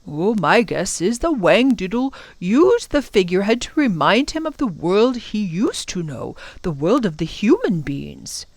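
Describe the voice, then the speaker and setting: A woman's voice: mid-range voice, big pitch swings, measured pace, hardly any pauses, no room sound. A woman voicing a kindly, fussy older male professor in a storybook, explaining things with donnish exclamations.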